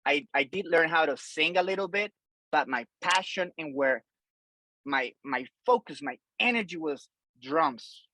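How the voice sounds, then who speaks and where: A man's voice: on the high side, lively intonation, a little slow, pushed and bright, no echo. A man in his forties from Colombia, a drummer guest on a podcast, talking loosely with many fillers and restarts. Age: 40s. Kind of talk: casual interview chat.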